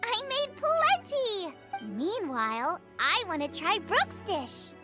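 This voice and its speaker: A woman voicing a cheerful, animated young girl in an English video game. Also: very high voice, takes it slowly, full character voice. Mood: upbeat. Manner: bubbly, animated. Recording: music playing behind the voice.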